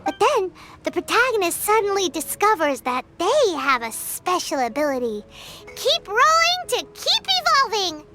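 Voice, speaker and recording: A tiny game sprite speaks in an extremely high, squeaky child's voice. The delivery is cheeky, excitable and exclamatory, swinging widely in pitch. Loud game music plays behind it. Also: female voice, normal speed. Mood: eager storytelling. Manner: exaggerated, exclamatory. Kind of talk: video game character acting.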